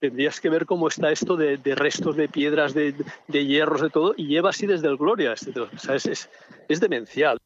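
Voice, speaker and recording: A man's voice: on the high side, fairly quick, a little hiss or hum. An indignant man with an accent from Spain, complaining emphatically and spontaneously in an interview as a spokesman. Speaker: residents' association spokesman. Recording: dull, muffled interview audio.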